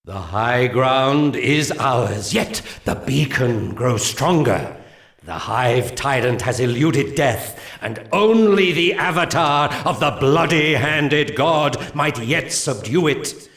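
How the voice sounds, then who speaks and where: A slow, grave elven seer. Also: male voice, medium pitch, expressive. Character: solemn male elven seer briefing his warhost. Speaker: game mission narrator. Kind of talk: 1990s strategy game cutscene narration. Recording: roomy game audio under loud music.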